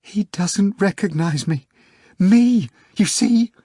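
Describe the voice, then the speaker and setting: A woman's voice: mid-range voice, animated, takes it slowly, dark tone, husky, no room sound, clean studio sound, pitched above the performer's own voice. A man voicing a hysterical young woman secretary, shrieking and wailing, a little nasal from crying.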